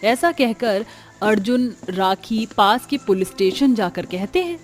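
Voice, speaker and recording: A woman's voice: medium pitch, expressive, unhurried, soft background music. A woman reading scripted dramatic narration for a cartoon horror story.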